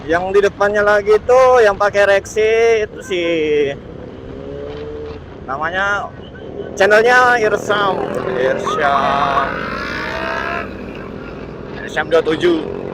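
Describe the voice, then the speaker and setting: Guy w chatting on a motorbike ride, kinda high voice, engine noise behind, halting and repeats himself. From Indonesia. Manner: halting, repetitive, searching for words. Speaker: motovlogger. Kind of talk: casual unscripted vlog commentary while riding. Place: outdoors, riding a motorbike in traffic. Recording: steady engine and road noise. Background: motorbike and vehicle noise.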